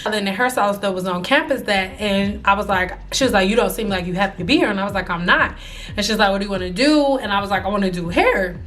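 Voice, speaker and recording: A woman in her early thirties telling life stories casually to camera, animated and slangy, acting out the people she quotes. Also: mid-range voice, fast talker. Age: 30s. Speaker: hairstylist vlogger.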